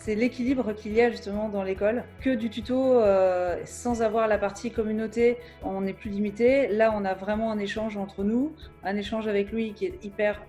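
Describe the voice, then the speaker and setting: A woman's voice: medium pitch, fairly even intonation, moderate pace, warm tone. A woman speaking off the cuff as a student giving a video testimonial. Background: background music.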